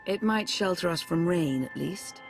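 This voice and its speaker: An actress playing a measured, analytical advisor in a video game, composed and formal. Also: female voice, lowish voice, lively intonation, creaky, faint music behind. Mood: wary, composed.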